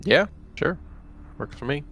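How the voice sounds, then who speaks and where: A man's voice: fairly high pitch, animated, measured pace, a bit bright. A man talking casually as a player in a tabletop roleplaying game.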